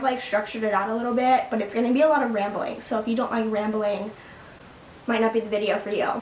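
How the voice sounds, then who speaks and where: A woman's voice: on the high side, distant mic, slight background noise. Rambling teenage girl in an echoey room. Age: teens. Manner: rambling, filler-heavy, laughs often. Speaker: vlogger. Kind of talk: casual sit-down chat to camera. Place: her room at home. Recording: echoey room, mic set back.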